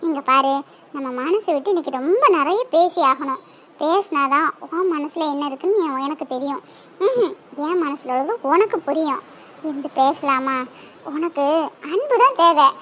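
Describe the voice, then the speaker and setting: A woman's voice: very high-pitched, lively intonation, moderate pace, a bit bright, slight background noise. A woman playing a young woman who pleads with her drunk lover, tearful and half-laughing. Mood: coaxing.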